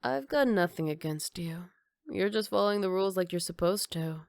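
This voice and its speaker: A woman playing a flirty, cocky female villain who teases in an unhurried, smooth-talking way, in an ASMR roleplay. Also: on the low side, lively intonation, no room sound, clean studio sound. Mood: casual, teasing.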